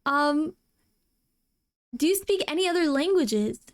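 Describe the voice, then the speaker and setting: A woman's voice: high-pitched, lively intonation, measured pace. A woman hosting a casual, chatty interview stream. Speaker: host, streamer.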